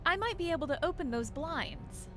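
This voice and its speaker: An actress voicing a plucky, eager young daughter in a video game adventure, earnest and excitable. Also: female voice, extremely high pitch, normal speed, over music. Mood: urgent. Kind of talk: video game character acting.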